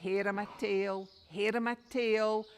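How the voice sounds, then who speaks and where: A woman voicing a wise magical talking cow with a North-East Scots accent, giving calm instructions. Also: medium pitch, unhurried, a little hiss or hum, lightly acted. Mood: commanding.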